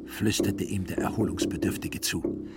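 A man's voice: low-pitched, steady tone, normal speed, creaky, over loud music. A man reading scripted literary narration for a radio drama.

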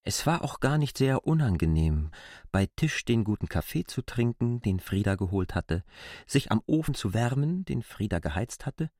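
A man's voice: medium pitch, big pitch swings, normal speed, darkish tone, no echo. A man reading literary prose as a narrator, close to a studio microphone. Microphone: close, dry studio microphone.

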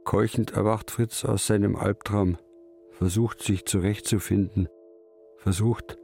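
A man's voice: lowish voice, fairly even intonation, unhurried, gravelly, dark tone, faint music behind. An actor playing a stubborn, brooding old fisherman, telling his story in a radio drama. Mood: brooding, uneasy. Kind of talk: literary radio drama narration in third person.

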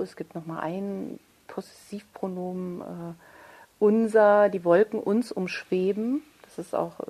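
A woman's voice: mid-range voice, creaky, a little mellow. A woman speaking as an interviewed author in a thoughtful conversation, searching for her phrasing and correcting herself.

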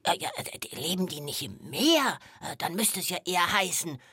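A woman voicing a cheeky, silly animal sidekick in a children's podcast, stammering and giggling with a raspy voice. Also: fairly low pitch, very animated, moderate pace, pushed and bright, no echo, clean studio sound, full character voice. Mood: curious, cheeky. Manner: stammering, repeating words, giggling. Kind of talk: scripted children's podcast dialogue.

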